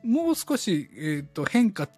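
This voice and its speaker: Animated Kansai woman over faint music. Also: medium pitch, unhurried. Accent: Kansai Japanese. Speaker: co-host of a live collaboration audio show. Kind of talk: casual chat.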